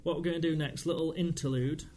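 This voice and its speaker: A man's voice: fairly high pitch, steady tone, fast talker, dark tone, slight background noise. A man co-hosting a podcast, putting questions to a guest in a casual way. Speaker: podcast co-host, quizmaster. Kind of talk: casual quick-fire questioning.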